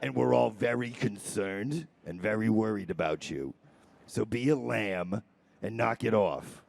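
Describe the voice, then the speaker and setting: A man voicing a prim, disapproving scold who delivers a deadpan, comic lecture. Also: lowish voice, unhurried, creaky, heavily acted. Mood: disapproving, concerned.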